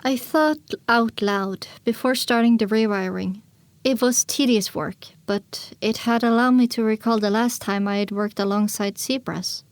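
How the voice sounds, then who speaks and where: High-voiced young mare storyteller. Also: female voice, fairly even intonation, dry recording. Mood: reflective, earnest. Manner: measured, earnest storytelling. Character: young mare pony narrating her own story, earnest, reflective. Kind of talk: scripted first-person audiobook narration.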